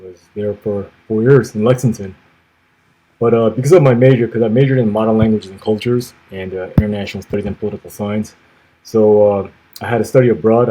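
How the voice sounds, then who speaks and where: A man in his thirties, speaking in a low, mellow and slightly husky voice. He answers at an easy pace, filling gaps with "uh" and dropping to a quiet finish at the end of his phrases. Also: poor audio. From grew up in the United States. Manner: measured, many "uh" pauses, trailing off softly at phrase ends. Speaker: podcast guest, former navy officer. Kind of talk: conversational interview answers.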